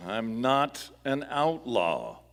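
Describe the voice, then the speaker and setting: An actor playing a firm, upright masked cowboy hero in a live Western radio play, speaking formally. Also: male voice, mid-range voice, huge pitch swings, extremely slow, long reverb tail, heavily acted. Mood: stern, urgent.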